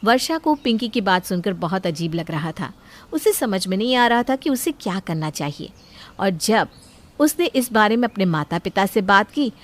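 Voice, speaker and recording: A woman narrating a scripted moral family story as a storyteller. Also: medium pitch.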